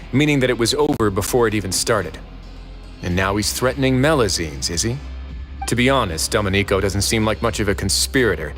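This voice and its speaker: A man's voice: lowish voice, fairly bright tone. A man voicing a composed, dry and businesslike prison warden of noble rank in a video game.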